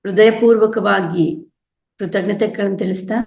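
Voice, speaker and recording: Kannada accent lady doing guided meditation, calm n slow w long pauses, echoey kinda muffled recording. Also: medium pitch, vocal fry. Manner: calm, unhurried, gently instructing with long pauses. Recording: dull, echoey lo-fi room sound.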